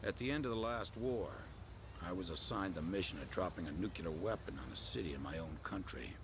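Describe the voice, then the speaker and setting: A man acting a middle-aged veteran military pilot in a video game, reflective and deliberate, with a gravelly voice. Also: sits low, animated, background noise. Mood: reflective, somber. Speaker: veteran air force colonel.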